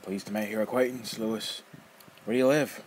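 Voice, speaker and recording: A coarse, jeering armless coffin maker with a menacing edge, as a man puts him on. The voice sits higher and louder than the reader's own low tone. He talks roughly, teasing and threatening in the same breath. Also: steady tone, brisk, slight background noise, close to an everyday voice. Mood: taunting. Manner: rough, taunting, bursts of laughter.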